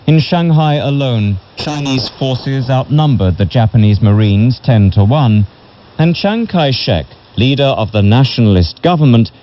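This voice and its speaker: A man narrates serious history in a measured, solemn manner. His voice is mellow and relaxed at a middling pitch. The sound is dull and lo-fi, like a shortwave radio broadcast. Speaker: audiobook narrator. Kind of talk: scripted literary history narration.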